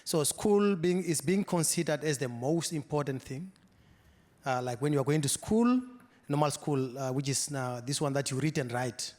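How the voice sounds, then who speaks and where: A man in the audience speaking up at a panel discussion, halting and full of restarts. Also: a little high, lively intonation, darkish tone. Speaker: audience member. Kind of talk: question from the floor. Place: large hall. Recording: long hall reverb.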